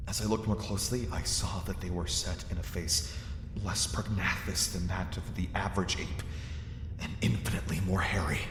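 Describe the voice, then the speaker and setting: A man narrating an old horror tale in a grave, hushed manner that builds to swells of suspense. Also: low-pitched, background noise. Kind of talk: dramatic horror story narration. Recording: cave-like reverb with music beneath. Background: dark ambient music.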